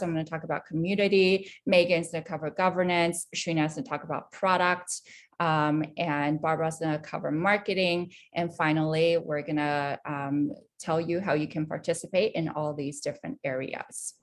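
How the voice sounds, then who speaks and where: A woman's voice: low voice, steady tone, moderate pace. A woman hosting an online company update, introducing the agenda and speakers with frequent ums. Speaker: head of community, moderator.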